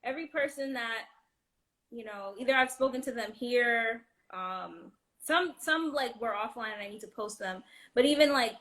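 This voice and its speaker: A woman's voice: fairly high pitch, moderate pace, a bit bright, low-quality recording. A woman in her late twenties talking as a guest in casual chat, loose and heartfelt with many likes and restarts. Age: 20s.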